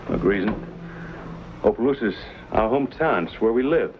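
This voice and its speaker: A man's voice: fairly low pitch, lively intonation, moderate pace, dull audio, background noise. An actor playing a proud, stubborn young frontier man in a Western drama, speaking in earnest, folksy words. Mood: resolute.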